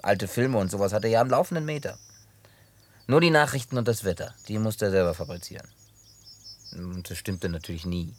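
An actor dubbing a man who tells a long story in a laid-back way with gruff humor. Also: fairly low pitch, brisk, close to an everyday voice. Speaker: lead character in a dubbed film. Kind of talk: dubbed film acting.

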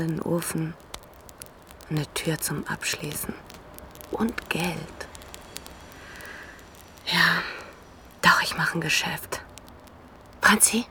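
Slow, desperate homeless widow. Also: female voice, lowish voice, a bit bright, a little hiss or hum. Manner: halting, murmuring to herself, flaring up. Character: desperate homeless widow, frightened, bitter, unstable woman. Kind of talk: German radio crime drama acting.

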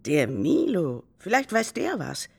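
Deep-voiced, grumbling older mother. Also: huge pitch swings, a little slow, creaky, noisy background, full character voice. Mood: nosy, suspicious. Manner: curt, nagging. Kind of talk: radio drama dialogue. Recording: loud music under voice.

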